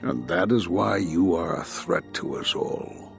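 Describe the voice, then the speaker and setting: An actor playing a stern, condemning older Jedi master in a video game, speaking gravely and deliberately with a husky voice. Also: male voice, deep voice, faint music behind, heavily acted. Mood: stern, accusing. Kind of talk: dramatic video game dialogue.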